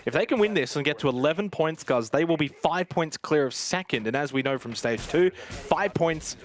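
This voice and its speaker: A man's voice: on the high side, lively intonation, moderate pace. A man giving live commentary and analysis on an esports match.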